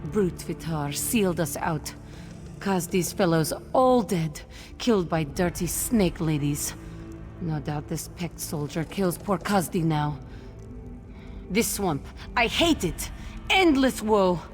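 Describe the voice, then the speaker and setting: A woman's voice: on the low side, expressive, takes it slowly, music in the background. A woman playing a wretched, bitter cat-folk captive in a fantasy video game, dramatic and scornful, referring to herself in the third person. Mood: bitter, despairing. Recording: long cavernous reverb.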